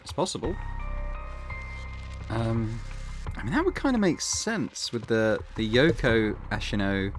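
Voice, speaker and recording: A man's voice: mid-range voice, big pitch swings, unhurried, pauses often. A man hosting a let's play, thinking aloud hesitantly as he plays. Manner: musing, hesitant, with fillers and restarts. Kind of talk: thinking aloud while playing a game. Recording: game music playing behind.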